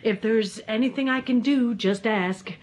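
A woman's voice: fairly high pitch, unhurried, darkish tone, close to an everyday voice. An actress playing a friendly, offhand woman in a radio drama, talking in an easy, casual way. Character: smooth, friendly but suspicious woman. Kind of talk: 1940s radio drama acting.

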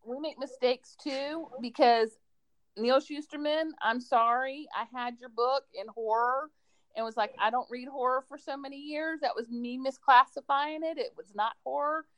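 A woman's voice: high-pitched, fairly even intonation, normal speed, dry recording, rough recording. A woman chatting casually and enthusiastically about books as a school librarian on a podcast. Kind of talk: casual book recommendation chat.

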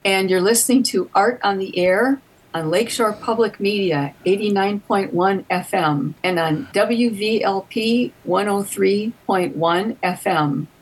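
A woman's voice: mid-range voice, unhurried, husky, low-quality recording. A woman artist from Northwest Indiana reading a scripted radio station identification. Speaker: station ID reader.

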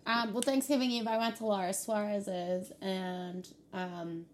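A young woman hosting a casual podcast from a kitchen, ranting and cursing with excitable energy. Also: mid-range voice, measured pace, slight background noise. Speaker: podcast host. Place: kitchen at home.

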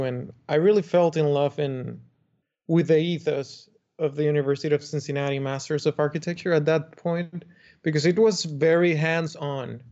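A man's voice: a little high, warm tone. A young man from the Dominican Republic, an architect guest telling his story in a chatty way with restarts. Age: young adult. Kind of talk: interview conversation. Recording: muffled lo-fi remote audio.

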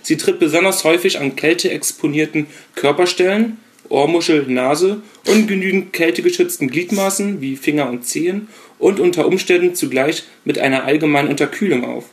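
A man's voice: fairly high pitch, a little fast, few pauses. A man from Berlin with no regional accent, chatting casually on a fan podcast and reading text aloud. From Berlin, Germany. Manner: laid-back, chatty, reads aloud.

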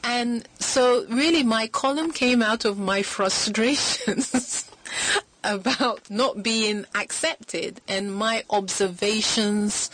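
A middle-aged woman, born in Britain, talking as an author guest on a radio talk show, telling her story in a lively way with frequent laughter. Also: fairly high pitch, poor audio.

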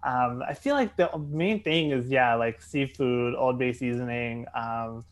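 Halting, filler-heavy man from Maryland. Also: medium pitch. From Maryland, USA. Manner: hesitant, many fillers and restarts. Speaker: podcast guest. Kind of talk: casual interview chat.

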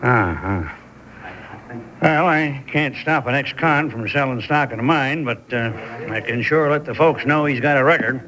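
An actor playing a gruff, no-nonsense small-town American sheriff in a radio drama. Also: male voice, mid-range voice, moderate pace, fairly bright tone, lightly acted, rough recording. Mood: brusque.

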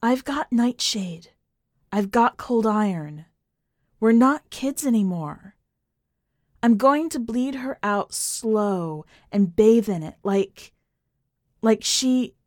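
A woman's voice: a little high, expressive, slow, a little mellow, no echo, studio quality, voice pushed up. A woman narrator voicing a bitter, traumatized woman bent on revenge, taunting and emotionally raw, in an audio short story. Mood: grim resolve. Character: traumatized, vengeful, defiant woman, bitter and daring.

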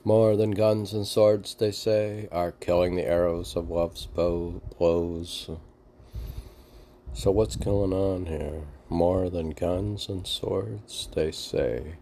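A man reading poetry aloud with halting, musing, informal commentary, often answering his own questions. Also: deep voice, steady tone, warm tone, a little hiss or hum. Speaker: reader and commentator of mystic poetry.

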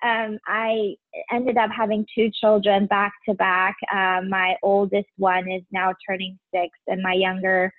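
Woman in her 40s, soft casual guest talk w lots of ums, dry phone-line sound. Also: medium pitch, flat delivery, a little slow, no echo. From Taiwan. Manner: soft-spoken with frequent ums and light laughs. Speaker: podcast guest. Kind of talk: casual interview chat.

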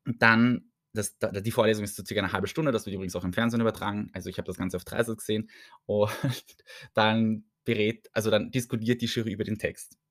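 A man with an Austrian accent hosts his own podcast in a relaxed, rambling way. He pokes fun at himself and laughs often. His voice sits a little low and the recording is close and dry. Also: quick pace, no room sound. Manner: chatty and self-mocking, laughs often. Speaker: podcast host. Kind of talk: casual solo podcast chat.

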